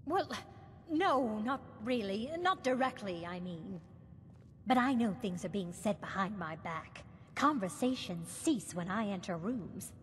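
An actress playing an insecure, prickly mage scholar in a fantasy game, talking in a prim, fussy, formal manner. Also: female voice, mid-range voice, expressive, a little slow, a little hiss or hum, lightly acted. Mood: anxious, defensive. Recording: long hall reverb, music behind.

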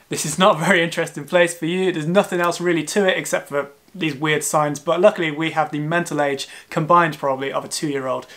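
A man's voice: on the high side, fairly bright tone. Chatty young man vlogging from a sofa. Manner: chatty, jokey, self-deprecating. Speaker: travel vlogger. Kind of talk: casual travel vlog talk. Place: living room sofa, indoors.

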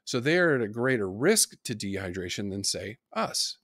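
A man's voice: fairly low pitch, very animated, normal speed, fairly bright tone, no echo, clean studio sound. A middle-aged man lecturing on horse nutrition, giving practical tips in an online course. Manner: practical, advice-giving explanation.

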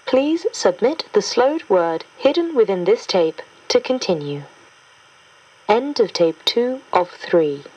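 A woman playing a formal, impersonal agency instructor, reading scripted instructions in a measured, official way on a distant cassette recording. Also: mid-range voice, big pitch swings, a little mellow, husky, no echo, heavily acted. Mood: formal, instructive. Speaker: instructional announcer. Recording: muffled, distant cassette tape.

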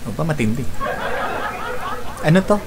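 Filipino male streamer host, lively swooping voice, playful, noisy stream audio. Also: on the high side, moderate pace, vocal fry, over a noisy crowd. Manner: playful, breaks into sung lines and laughs. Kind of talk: casual stream chat with snatches of karaoke singing.

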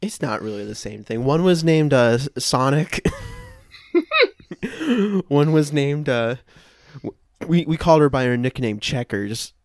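A man in his twenties doing improvised comedy banter, pausing as he makes things up. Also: medium pitch, very animated, dry recording, studio quality. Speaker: improv podcast performer. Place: room at home, indoors.

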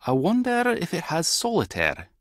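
An actor playing a wry, clever, tech-savvy spy agent with a Scottish accent and a husky voice in an adventure game. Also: male voice, sits high, wildly swooping pitch, moderate pace, no room sound, studio quality. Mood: playful. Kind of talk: scripted adventure game dialogue.

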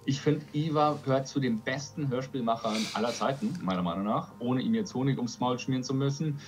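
A man's voice: medium pitch, brisk. A man in his forties presenting to camera, enthusiastic, wordy and emphatic. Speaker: audio drama producer presenting a release.

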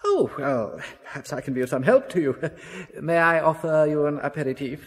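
A high-pitched, nervously genial businessman. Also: expressive, moderate pace, husky. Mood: affable, chatty. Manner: hesitant, ingratiating, chuckling, stammering. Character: genial, nervously eager Belgian businessman living in Germany. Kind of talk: radio drama acting.

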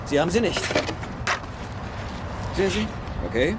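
An actor playing a wry, self-assured male treasure hunter in a film dub, talking briskly. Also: high-pitched, expressive. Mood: wry, unruffled. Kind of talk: German film dub acting. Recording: noisy film soundtrack with vehicle sound.

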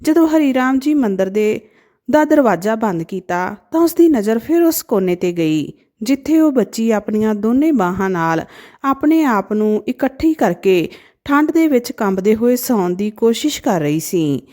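A woman tells a sentimental moral tale as a narrator. Her voice is mellow and somewhat high, with very lively intonation and a gentle, emotive delivery. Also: normal speed. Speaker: storyteller. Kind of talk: scripted narration of a sad moral story.

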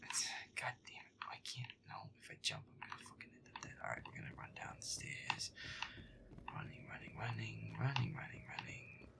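A man giving irritable, foul-mouthed gameplay commentary in a breathy, often whispered mutter. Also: on the low side, little pitch movement, a little fast. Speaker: gamer playing a horror game in character.

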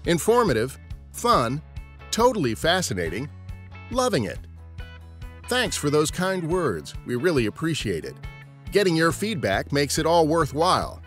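A man's voice: a little high, wildly swooping pitch, a little slow, a bit bright. An American man hosting a podcast, reading scripted narration. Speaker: podcast host. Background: guitar music.

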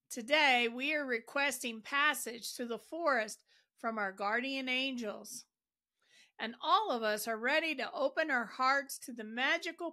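A woman narrates a guided meditation in a fairly high, bright voice. She speaks very slowly and deliberately, placing each phrase with care. Her delivery is gentle and soothing as she leads the listener along.

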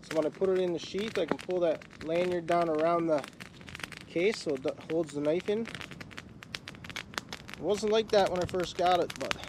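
A man's voice: high-pitched, moderate pace, pauses often. A middle-aged man from Newfoundland, Canada, chatting casually and easygoing in a sunny forest, picked up by a camera a few metres away. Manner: rambling, easygoing, stumbles and restarts often. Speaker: bushcraft vlogger. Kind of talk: casual outdoor vlog talk. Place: outdoors in a sunny forest by a lake. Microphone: camera on a tripod a few metres away. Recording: outdoor camera audio with clear background noise.

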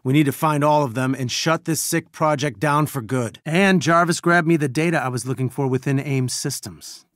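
A man's voice: on the high side, moderate pace, fairly bright tone, dry recording, clean studio sound, natural voice. A man playing an earnest scientist who leads a resistance, briefing a team in a businesslike, urgent way in a video game. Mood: steady, businesslike. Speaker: game character giving mission briefings. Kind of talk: video game mission dialogue.

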